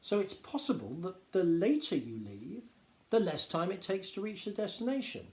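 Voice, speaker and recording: A man reading a popular non-fiction book aloud like a teacher, explaining in a measured, expressive way. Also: sits high. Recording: echoey, roomy sound.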